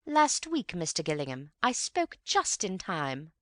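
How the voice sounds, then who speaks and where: A woman's voice: fairly low pitch, sing-song, a little slow, fairly bright tone, no echo, studio quality. A woman reading aloud voices a fussy, gushing middle-aged mother who speaks with swooping, insistent emphasis. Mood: emphatic.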